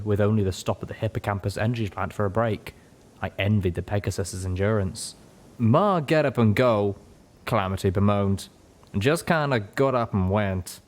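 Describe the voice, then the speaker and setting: A man's voice: on the low side, a little mellow. A man reading a scripted first-person adventure story as an audiobook narrator. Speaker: audiobook reader.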